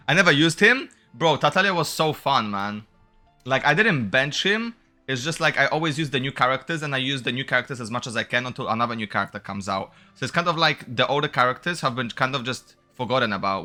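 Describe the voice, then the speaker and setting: A man with a bright, forward voice streams a video game with music playing behind him. He talks in a casual, slangy way, excitable and chatty as he comments on the playthrough. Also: mid-range voice, fast talker. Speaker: gaming streamer.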